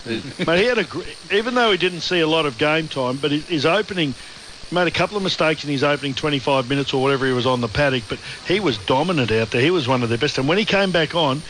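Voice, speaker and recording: Australian man, rapid radio banter, slightly high voice, faint background noise. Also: animated, fairly bright tone, rough recording. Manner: blokey, joking, teasing. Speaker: radio rugby league commentator. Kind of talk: post-match radio panel chat.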